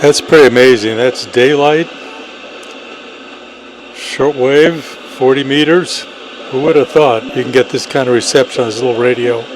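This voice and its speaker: A man's voice: mid-range voice, normal speed. A man giving a casual, enthusiastic product demonstration in short plain phrases, as an amateur radio operator. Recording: noisy, radio static and hum.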